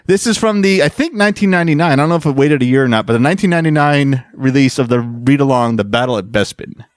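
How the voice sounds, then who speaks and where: A man with a very animated delivery hosts a casual podcast. His voice sits at an average pitch and is recorded close and dry, and he talks informally, as if among friends. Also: fast, dry recording. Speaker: podcast host. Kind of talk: casual podcast banter and explaining.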